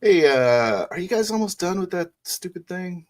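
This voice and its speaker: A man playing a jaded, gruff vampire party organizer, speaking casually and wryly in an improvised tabletop roleplay. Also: high-pitched, animated, normal speed, voice pushed up. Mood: coaxing, casual.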